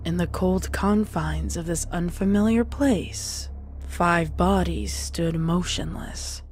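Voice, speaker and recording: A woman's voice: lowish voice, slow pace, a little mellow, a little hiss or hum. A woman reading scripted, dramatic story narration as a narrator.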